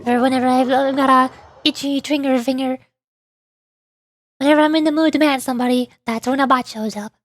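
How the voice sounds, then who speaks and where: A woman's voice: high voice, fairly even intonation, a little fast, long pauses, no room sound, soft background music. A woman streaming a game, chatting casually in a playful, teasing manner. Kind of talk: casual game livestream chat.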